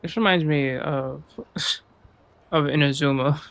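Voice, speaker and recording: Blunt, sweary man with gravelly voice. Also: on the high side, unhurried, fairly bright tone. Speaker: gaming streamer. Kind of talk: casual gameplay reaction commentary.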